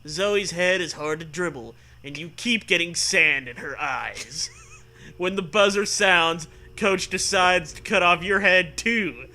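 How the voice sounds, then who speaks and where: A man reading a comic storybook aloud with animated, profane casual banter. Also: sits high, takes it slowly. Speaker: reader of a comic choose-your-own-adventure book.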